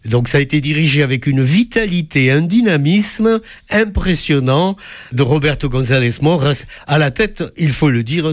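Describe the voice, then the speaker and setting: A man's voice: medium pitch, dry recording, studio quality. A man giving an enthusiastic spoken concert review as a music critic, effusive and fond of literary turns of phrase.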